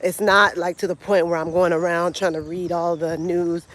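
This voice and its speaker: A woman's voice: on the low side, level tone, normal speed, a bit bright. An American woman talking in a casual, opinionated and colloquial way, like a vlogger speaking to her followers. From the United States. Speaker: vlogger and travel coach. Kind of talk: casual vlog monologue to camera.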